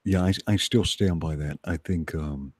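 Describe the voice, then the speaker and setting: Man, low voice, rough husky edge, casual co-host chat, dry close sound. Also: normal speed, no echo.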